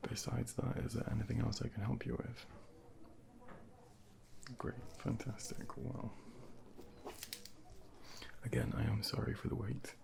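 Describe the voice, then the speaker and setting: A man speaking softly and breathily, at times whispering, as a gentle, reassuring doctor in an ASMR medical role play, close to a binaural microphone. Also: medium pitch, level tone. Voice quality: breathy soft voice slipping into whispers. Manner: gentle, reassuring, unhurried asides. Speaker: ASMR performer playing a junior doctor. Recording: clearly noisy close binaural recording, ambient background.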